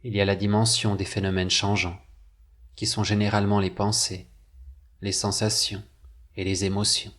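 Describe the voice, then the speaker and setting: A man narrating a scripted guided meditation, with measured, deliberate phrasing and pauses. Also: fairly low pitch, flat delivery, a bit bright.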